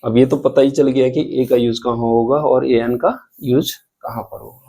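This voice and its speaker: A man teaching a grammar lesson, explaining rules slowly and spelling out examples, often asking the students questions. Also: medium pitch, steady tone, vocal fry, warm tone. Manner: slow, deliberate, repeats and spells out examples.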